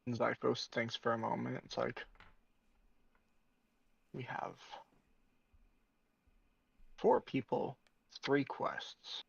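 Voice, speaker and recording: A man's voice: medium pitch, steady tone, extremely slow, creaky, pauses often, breathy edge, no room sound. A man casually roleplaying as a player in a tabletop game, recorded dry and a little dull.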